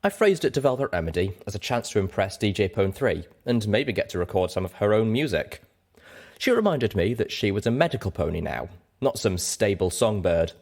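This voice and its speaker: Male audiobook reader, deep pitch, scripted first-person fiction narration. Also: big pitch swings, fairly quick, a little mellow.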